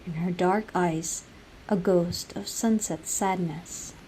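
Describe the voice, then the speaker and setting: A young woman narrates a literary radio drama with a Filipino accent. She reads very slowly and solemnly in a mellow tone. A little background noise runs underneath. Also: lowish voice, fairly even intonation, rough recording. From Philippines. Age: young adult. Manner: measured, solemn storytelling.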